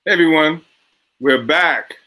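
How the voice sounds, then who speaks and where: A man's voice: fairly high pitch, unhurried, pushed and bright. A man hosting a casual interview show, asking short friendly questions. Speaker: host.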